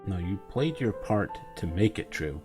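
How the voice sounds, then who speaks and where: A man playing through a video game, commenting casually and reading out dialogue in a low-key, supportive way. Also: expressive, unhurried. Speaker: game streamer. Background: faint game music.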